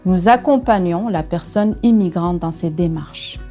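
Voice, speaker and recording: A woman's voice: lowish voice, measured pace, dark tone, faint music behind. A woman speaking as an organisation's spokesperson, explaining its work in an interview. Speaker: spokesperson for a newcomer support organisation.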